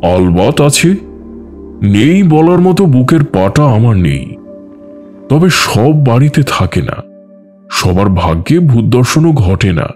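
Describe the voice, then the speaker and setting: A man playing a teasing older uncle in an audio story, speaking in a deliberate, mock-serious way. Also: mid-range voice, sing-song, a little mellow. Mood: wry, knowing. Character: teasing older uncle hosting guests in ancestral mansion. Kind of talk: dramatised audio story dialogue. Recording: music behind the voice. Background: background music.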